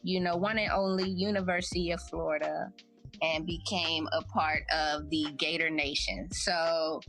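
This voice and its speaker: A woman in her thirties, a podcast host, telling her life story in a relaxed, chatty, reflective way. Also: sits low, unhurried, soft background music. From United States. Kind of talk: casual personal storytelling.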